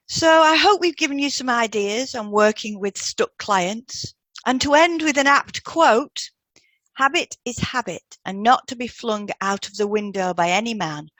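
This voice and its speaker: A woman co-hosting a scripted podcast, reading out advice in a gentle, measured way as a therapist would. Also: medium pitch, no echo. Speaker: podcast co-host and hypnotherapist. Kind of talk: scripted podcast advice with example client questions read out.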